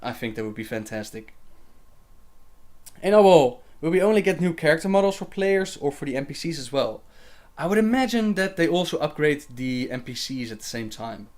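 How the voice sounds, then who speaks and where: A man's voice: fairly high pitch, lively intonation, fairly quick. A young man hosting a casual livestream Q&A, reading viewer questions aloud and answering them chattily with fillers and laughs.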